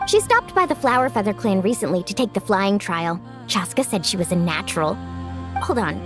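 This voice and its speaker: A woman's voice: mid-range voice, lively intonation, a little fast, natural voice. A woman voicing a cheerful, friendly young woman contestant in a game, upbeat and eager. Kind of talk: game cutscene voice acting. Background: game music.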